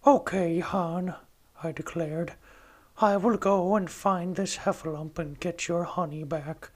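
Sweet, slow-witted storybook bear, put on by a podcast host, soft and unhurried. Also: male voice, high voice, steady tone, voice pushed up. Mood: gentle. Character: gentle honey-loving male cartoon bear. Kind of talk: amateur storybook impression.

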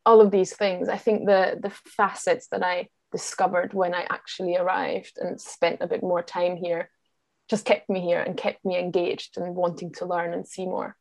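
A woman's voice: fairly low pitch, level tone, normal speed, gravelly, a little mellow, no echo. A woman from Austria who speaks with a Scottish accent, chatting enthusiastically as an interview guest and laughing often. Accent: Scottish, picked up in Glasgow. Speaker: guest, travel writer and podcaster. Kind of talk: casual interview chat.

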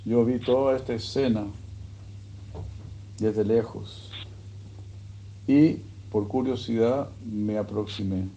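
A man giving a sermon, retelling a scripture story in a slow, measured way. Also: fairly low pitch, fairly even intonation, warm tone, a little hiss or hum, low-quality recording. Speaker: religious speaker.

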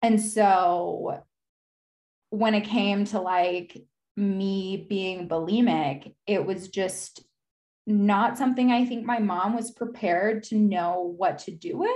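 A woman's voice: mid-range voice, takes it slowly, darkish tone. Reflective young woman, casual and candid. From United States. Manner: casual, frequent "like" fillers, reflective. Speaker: podcast guest. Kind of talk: candid conversational interview.

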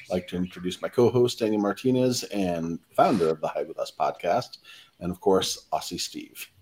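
A man's voice: low-pitched, normal speed, darkish tone, slight background noise. A man hosting a podcast, introducing his guest in a loose way with lots of "uh". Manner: loose, filler-heavy introductions with "uh". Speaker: podcast host.

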